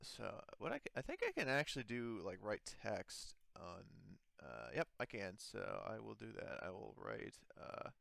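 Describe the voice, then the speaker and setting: Smooth low-voiced man running a game. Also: huge pitch swings, a bit bright, no room sound, studio quality. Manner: halting, informal. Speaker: game master. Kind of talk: improvised tabletop game narration.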